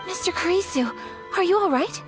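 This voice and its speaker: An actress voicing a composed young noblewoman of high rank, speaking in a formal, measured way. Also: animated. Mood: concerned. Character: poised young noblewoman, eldest daughter of a clan. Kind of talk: game voice acting. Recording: game dialogue with soft background music.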